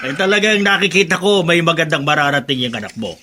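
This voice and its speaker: A man's voice: fairly high pitch, lively intonation, a little fast, pushed and bright. A man playing a jovial, chatty working-class mechanic with a folksy manner in a radio drama. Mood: admiring. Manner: folksy, interjecting, jocular.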